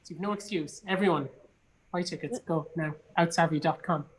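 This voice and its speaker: An Irishman in relaxed, informal conversation, talking with enthusiasm about things he enjoys. His voice sits in an ordinary range, and he comes across as chatty, like a guest on an online show. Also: a little high, brisk. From Cork, Ireland. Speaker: guest, actor and theatre producer. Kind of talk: casual online chat. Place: indoors in a studio.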